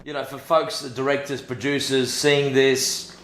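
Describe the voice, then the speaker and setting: A man's voice: mid-range voice, flat delivery, normal speed, a bit bright. A man interviewing in a casual, matey, cheeky way, happy to be crude. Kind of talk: casual, blokey interview chat. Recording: echoey room with faint noise.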